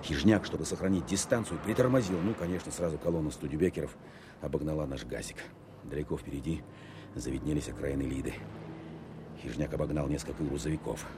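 Businesslike captain, extremely deep voice, fast clipped narration, road noise behind. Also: male voice. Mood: focused, tense. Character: businesslike army captain recounting a car pursuit. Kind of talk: first-person radio play narration.

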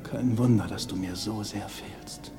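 A man playing a middle-aged widowed father in an audio drama, unsure and longing, speaking haltingly and tenderly. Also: on the low side, steady tone, over music. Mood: unsure, wistful. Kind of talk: film audio drama acting.

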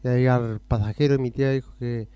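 A man's voice: medium pitch, fairly even intonation, quick pace, mellow, clean tone, no room sound. A Chilean man being interviewed on a radio show, telling rambling stories that jump between topics. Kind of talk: casual rambling storytelling.

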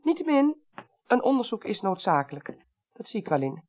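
A woman's voice: medium pitch, big pitch swings, normal speed, darkish tone. An actress playing a commanding senior female parliamentary clerk in a 1980s radio drama, formal, decisive and dryly wry. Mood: composed, wry.

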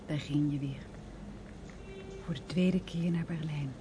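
An actress playing a hesitant, affectionate woman in a naturalistic radio drama, answering in short, halting phrases. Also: deep voice, fairly even intonation, music in the background. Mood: hesitant, reflective. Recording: clearly noisy background.

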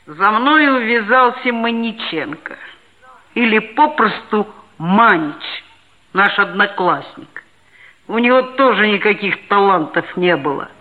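An actor playing an earnest, self-assured schoolboy, a geologist's son, who narrates and talks in a measured way in a 1960s radio play. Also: mid-range voice, expressive, phone-quality sound. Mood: earnest, storytelling. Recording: band-limited 1960s radio play recording.

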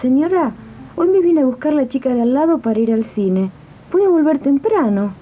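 A woman's voice: high voice, lively intonation, moderate pace, faint music behind. Woman playing a wronged young housemaid, muffled old tape. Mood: pleading. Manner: pleading, deferential. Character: mistreated young country housemaid, pleading and wronged. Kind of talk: 1980s community radio drama acting. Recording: dull, lo-fi archival radio tape.

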